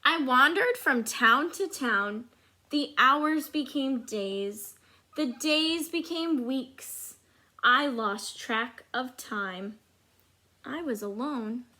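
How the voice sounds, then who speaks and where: A woman voicing a dutiful, overburdened good egg in a children's storybook, with earnest, dramatic, drawn-out emphasis. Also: sits high, expressive, bright, forceful tone, full character voice. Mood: lonely.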